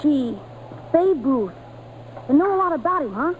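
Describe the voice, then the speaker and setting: A woman's voice: high-pitched, sing-song, measured pace. A woman playing an eager, wide-eyed thirteen-year-old boy in old radio drama, with slangy boyish speech. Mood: curious, awed. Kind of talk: 1940s radio acting. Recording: old noisy radio broadcast recording.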